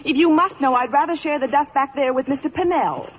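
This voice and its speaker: A woman's voice: high voice, fairly quick, natural voice. An actress playing a prim, haughty young lady in an old radio drama. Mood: haughty, irritated. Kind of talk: 1940s-50s radio drama acting. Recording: muffled, hissy vintage radio recording.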